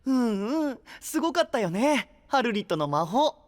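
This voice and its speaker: A man's voice: extremely high pitch, sing-song, normal speed, no echo, studio quality. A man playing a carefree, friendly young knight in an anime audio drama, with casual, loose, easygoing speech.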